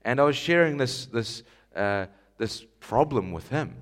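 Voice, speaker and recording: A man's voice: medium pitch, lively intonation, a little slow, fairly bright tone. A man from Scotland, preaching a sermon in an emphatic style with rhetorical questions. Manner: emphatic rhetorical questions, rising to stressed lists.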